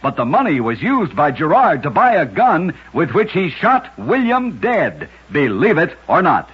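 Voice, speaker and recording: Husky, animated man narrating vintage radio oddities. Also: a little high, a little slow, highs cut off. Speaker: radio storyteller, host.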